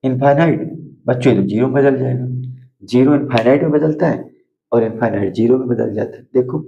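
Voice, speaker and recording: Hoarse male math tutor with a gravelly, dark voice and a Hindi accent; drills steps, quizzes the class; dull lo-fi recording. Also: medium pitch, fairly quick. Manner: repetitive, asks students questions and answers them himself. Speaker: math teacher. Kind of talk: board lesson for school students.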